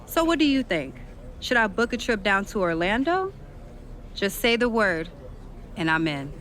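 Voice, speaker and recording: A woman's voice: medium pitch, very animated, normal speed, fairly bright tone. A woman playing a brash, cocky pro wrestler spoiling for a fight in a video game cutscene. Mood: eager, cocky. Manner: cocky, swaggering.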